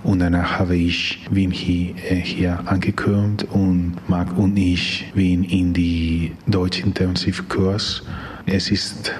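A man in his twenties with a Spanish accent, giving halting, simply phrased interview answers in a language he is learning. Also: low-pitched, flat delivery, darkish tone, a little hiss or hum. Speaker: interviewee, European volunteer.

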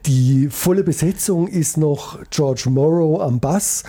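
A man's voice: on the high side, slow, mellow, breathy edge. A man hosting a radio jazz show, chatting casually between tracks, rambling with frequent hesitations and fillers.

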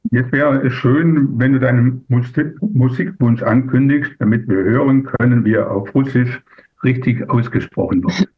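A man interviewing a guest, asking calm and friendly questions. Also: mid-range voice, normal speed, breathy edge. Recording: phone-like, thin.

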